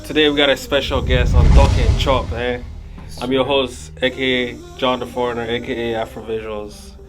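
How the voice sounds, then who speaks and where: Upbeat podcast host. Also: male voice, medium pitch, flat delivery, moderate pace, music in the background. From Ghana. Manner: upbeat, hype-man banter. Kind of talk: casual interview chat.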